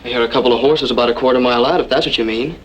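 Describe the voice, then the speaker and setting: A man's voice: mid-range voice, racing, lightly acted. A man playing an earnest, loyal young cowboy in an old-time radio western, speaking plain country talk. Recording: faintly noisy old radio recording.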